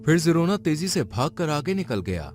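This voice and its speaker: Male narrator for dramatic horror storytelling, very animated pitch, music underneath. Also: normal speed. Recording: music behind the voice.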